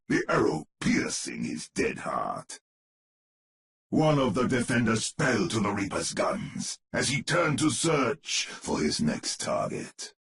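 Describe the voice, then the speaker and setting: An actor playing a grave storyteller, narrating a gothic horror tale in a theatrical, measured, ominous manner for a game. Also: male voice, medium pitch, fairly bright tone, heavily acted. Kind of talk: dramatic scripted storybook narration.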